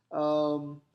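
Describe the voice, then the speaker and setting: Halting, thoughtful young American man. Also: fairly high pitch, lo-fi sound. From United States. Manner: halting, thoughtful, many fillers and restarts. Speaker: graduate student. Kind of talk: recorded personal statement.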